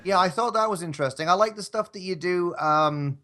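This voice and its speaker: A man's voice: high-pitched, brisk, sharp tone. A man chatting casually as a podcast guest, polite and hesitant, often restarting his phrases. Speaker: podcast guest, audio drama performer. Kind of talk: casual chat.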